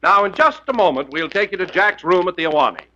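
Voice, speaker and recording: A man's voice: sits high, expressive, fast talker, loud music behind the voice. A man playing a helpful announcer sidekick in a 1940s radio comedy show. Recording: noisy, muffled old radio broadcast.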